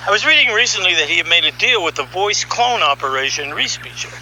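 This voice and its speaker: Male storyteller, high voice, conversational narration, wry and musing, emphatic pauses, clearly noisy background. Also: animated, sharp tone. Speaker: storyteller and voice session director.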